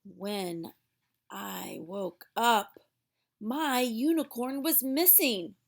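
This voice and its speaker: A woman's voice: on the high side, extremely slow, gravelly. A woman reading a storybook aloud for young children in a theatrical way, drawing out words for emphasis.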